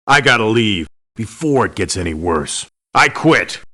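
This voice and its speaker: A man's voice: fairly low pitch, very animated, unhurried, bright, forceful tone, exaggerated voice. An actor playing a cocky, demanding male elite mercenary in a video game, speaking bluntly with clipped indignation. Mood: firm, then indignant. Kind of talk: video game character voice lines.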